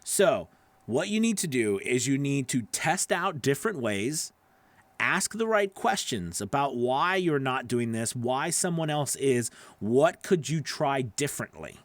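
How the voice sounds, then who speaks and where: A man in his forties talks casually as a livestream host and coach. His voice sits at a medium pitch, recorded dry and close on a studio mic in a small home studio. He is encouraging and emphatic, often repeating a phrase to drive it home. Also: very animated, a little slow, no room sound. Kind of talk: casual livestream advice, answering viewer questions. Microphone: close studio microphone on boom arm.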